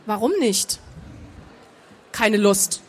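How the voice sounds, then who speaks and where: A woman's voice: medium pitch, drawn-out words, a little hiss or hum. A woman voicing a stubborn, sarcastic, hard-drinking woman of action in a storybook, blunt and defiant.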